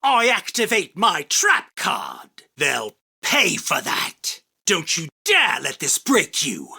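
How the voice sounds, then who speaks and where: A man voicing an arrogant, theatrical, self-proclaimed king of card duels in an anime game, with a husky, forceful, declamatory delivery. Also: a little high, huge pitch swings, takes it slowly, heavily acted. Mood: defiant. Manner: pompous, boastful, declamatory. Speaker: voice actor. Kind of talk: anime game character battle lines.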